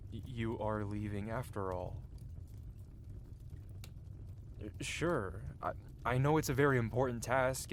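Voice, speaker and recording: A man's voice: on the low side, a little hiss or hum, close to an everyday voice. A man playing a cocky, flirty yet tender-hearted medieval blacksmith in an intimate, theatrical ASMR fantasy roleplay. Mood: worried, subdued. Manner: teasing, theatrical, with soft hesitations. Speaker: audio roleplay performer. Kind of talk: ASMR roleplay acting, intimate one-sided dialogue.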